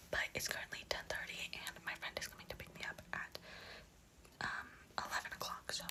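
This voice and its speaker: A very young woman whispering a casual, confiding get-ready-with-me vlog with some swearing. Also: poor audio. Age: teenager or very young adult.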